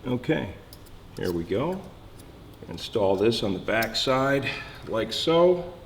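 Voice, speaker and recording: A man's voice: medium pitch, big pitch swings, takes it slowly, slight background noise. A man giving a casual hands-on tutorial, explaining each step as he works and checking in as he goes. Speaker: instructor, motorcycle mechanic demonstrating an installation. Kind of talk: hands-on how-to tutorial, talking while working. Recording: reverberant workshop with loud tool clatter.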